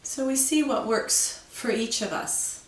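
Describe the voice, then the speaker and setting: A woman teaching yoga, guiding a breathing meditation with slow, calm, soothing instructions. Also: a little high, echoey room, distant mic.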